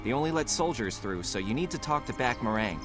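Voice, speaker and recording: An actor playing an eager, insecure young guard leader in a video game, earnest and anxious to help. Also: male voice, mid-range voice, lively intonation, fast talker, over music, natural voice. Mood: helpful, urgent. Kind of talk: dramatic game cutscene acting.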